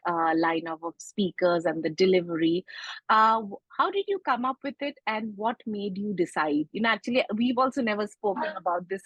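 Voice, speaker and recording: A woman's voice: mid-range voice, a bit bright, slightly rough, no room sound. A woman hosting a podcast interview, who introduces her guest and asks questions in a hesitant way with many fillers. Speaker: podcast host. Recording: dull lo-fi dry audio.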